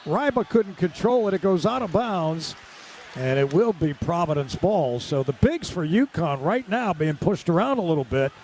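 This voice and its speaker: A man's voice: fairly high pitch, lively intonation, moderate pace, a little mellow, slight background noise. A man doing live radio-style basketball play-by-play in clipped, vivid running descriptions.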